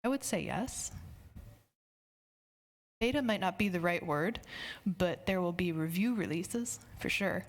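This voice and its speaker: A woman's voice: fairly low pitch, expressive, measured pace, pauses often. A woman speaker answering audience questions after a conference talk, conversational and friendly, with some fillers. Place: conference hall.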